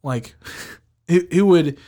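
A man co-hosting a podcast, chatting casually about a movie, animated and often restarting his sentences with a laugh. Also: a little high, slow. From Filipino heritage, living in the United States. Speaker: podcast co-host. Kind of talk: casual movie review chat.

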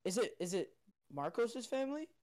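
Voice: medium pitch, sing-song, moderate pace, darkish tone. A young podcast co-host chatting casually, teasing and interrupting the others. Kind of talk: casual chat.

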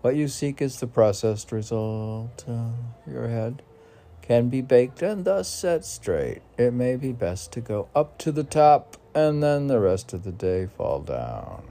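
A man reading poems aloud in a rambling manner, with muttered musing asides and questions to himself, in a gravelly voice. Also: medium pitch, warm tone. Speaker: poet reading own poems.

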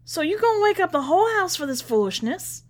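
Exasperated ex-girlfriend, acted by a woman narrator, sharp and scolding, thick homegrown accent, storybook dialogue. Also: very high-pitched, very animated, fast talker, pitched above the performer's own voice. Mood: vexed.

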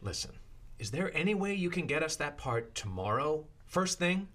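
A man's voice: on the high side, sing-song, moderate pace, fairly bright tone. Harried American businessman husband. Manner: defensive, bickering. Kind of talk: audio drama acting.

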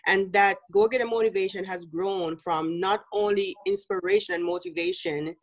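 A woman's voice: mid-range voice, lively intonation, normal speed, gravelly, low-quality recording. A woman from Jamaica with a Jamaican accent, a business coach speaking as an interview guest in an earnest way, with easy laughs and many fillers.

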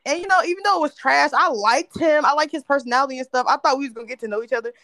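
A woman's voice: high-pitched, racing, bright, forceful tone, dry recording. A woman from North Carolina telling a candid story in a casual chat with friends, laughing often. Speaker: podcast host.

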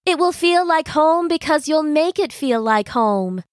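A practical, kindly female crab from a children's story, acted by a woman. The voice is fairly high, with an encouraging and earnest delivery. The recording is dry. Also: animated, a little slow, no room sound. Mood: reassuring. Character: practical, kind, reassuring female hermit crab.

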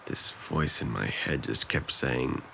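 Low gravelly young man, guarded and sardonic, acted. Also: takes it slowly, bright, forceful tone, a little hiss or hum, full character voice. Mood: low, confessional. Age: young adult. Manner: dry, self-deprecating, hesitant when confiding. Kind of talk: audio drama acting.

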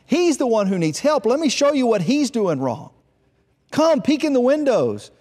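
Man voicing a high-pitched, smug fault-finding brother. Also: very animated, fairly quick, a little mellow. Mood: urgent, tattling. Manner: insistent, tattling, dismissive of himself. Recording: long hall reverb.

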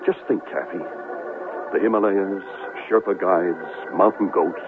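An actor playing a smooth, boastful, flirtatious New York television reporter in a 1970s radio drama, with a suave, condescending manner. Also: male voice, low voice, steady tone, a little slow. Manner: suave, self-satisfied, condescending. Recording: tinny vintage radio broadcast, music.

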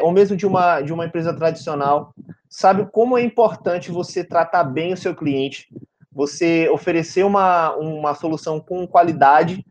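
A man's voice: high-pitched, darkish tone, a little hiss or hum. A man with a Brazilian Portuguese accent hosting a podcast in an informal, chatty way, checking in with frequent tag questions. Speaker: podcast host. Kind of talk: casual conversational podcast hosting.

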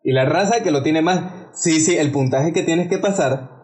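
A man's voice: a little high, normal speed, darkish tone. A young man from Venezuela with a Venezuelan Spanish accent, co-hosting a casual podcast debate and often stumbling over words. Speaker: podcast co-host.

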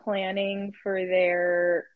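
Woman, young adult, chatty rambler, mid pitch, dry close mic. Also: monotone, extremely slow, no room sound, narrow, tinny audio. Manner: chatty, laughing, rambling storyteller. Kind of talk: casual chat.

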